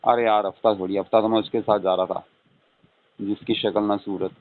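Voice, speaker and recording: A man's voice: on the low side, level tone, a little fast. A middle-aged man chatting casually on a phone call, informally, often tagging questions onto his statements. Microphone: telephone. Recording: tinny, narrow phone-call audio.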